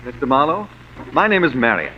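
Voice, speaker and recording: An actor playing a prim, nervous gentleman client in a 1940s radio drama, speaking in a formal, stiff, hesitant way. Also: medium pitch, big pitch swings, a little fast, lightly acted. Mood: hesitant, polite. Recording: dull, faintly noisy old radio recording.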